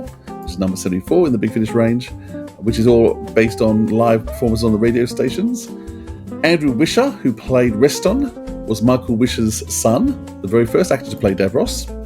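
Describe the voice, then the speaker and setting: A man presenting informative commentary on a podcast. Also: mid-range voice.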